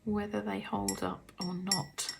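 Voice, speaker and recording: A woman from the UK with a croaky, gravelly throat talks slowly and softly, often dropping to a half-whisper as she thinks aloud. She speaks like a relaxed craft tutorial at home. A faint bed of guitar music plays underneath. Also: mid-range voice. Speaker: artist demonstrating paints. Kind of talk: casual craft tutorial chat. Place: home art studio.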